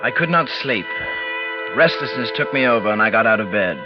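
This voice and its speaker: A man's voice: mid-range voice, expressive, natural voice. Man acting as brooding, uneasy radio-thriller narrator. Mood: tense, wary. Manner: deliberate, dramatic, brooding. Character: tense, uneasy man narrating his own frightening night. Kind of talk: 1940s radio drama, first-person narration. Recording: dull vintage radio, music behind.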